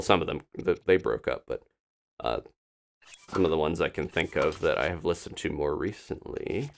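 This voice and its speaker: A man's voice: deep voice, slight background noise. A man doing live gameplay commentary as a streamer, chatting casually and thinking aloud in a rambling way. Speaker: video game streamer.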